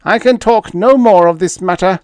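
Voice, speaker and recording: Man voicing a frail, high-pitched, indignant alien official. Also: lively intonation, measured pace, darkish tone, clean tone, no room sound, studio quality. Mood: indignant protest. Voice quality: weak, faint, trembling. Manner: formal, protesting diplomatic speech. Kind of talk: audiobook character dialogue.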